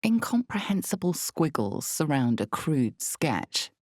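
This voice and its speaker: A woman voicing the omniscient narrator of a dark fantasy game, grave and ominous, with measured delivery and dramatic pauses. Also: big pitch swings, no echo, clean studio sound, heavily acted. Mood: grave, foreboding. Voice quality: creaky, low, mellow. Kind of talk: dramatic scripted second-person fantasy narration.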